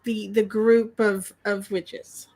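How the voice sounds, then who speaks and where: A woman's voice: medium pitch, level tone, slow pace, darkish tone. A woman co-hosting a casual live stream, teasing her friend and laughing often. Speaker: co-host and friend.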